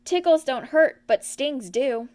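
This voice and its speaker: A woman's voice: high voice, animated, slow pace, darkish tone. A woman voicing a simple, earnest male toy bear in a children's storybook, mild and naive in manner. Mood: reassuring.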